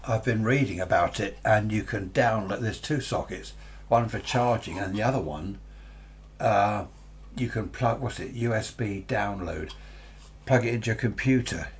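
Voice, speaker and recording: A man's voice: lowish voice, moderate pace. An older man in his sixties or beyond with an English accent, chatting casually and musing aloud as he reviews gadgets unscripted. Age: 60s or older. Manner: rambling, chatty, muses aloud to himself. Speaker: radio hobbyist presenter. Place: small cluttered indoor radio workshop. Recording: noticeable background noise with hum.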